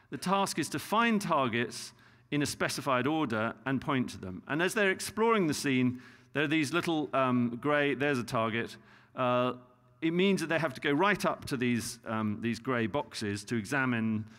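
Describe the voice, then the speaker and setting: A man's voice: medium pitch, a bit bright. A middle-aged man with a British accent giving a conference keynote, explaining results with many fillers and restarts. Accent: British English. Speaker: keynote speaker. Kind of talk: conference lecture. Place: large conference hall. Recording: hall reverb.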